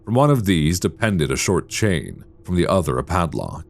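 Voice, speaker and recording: A man narrating a gothic audiobook from a script in a dramatic, measured manner. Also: deep voice, sing-song, gravelly.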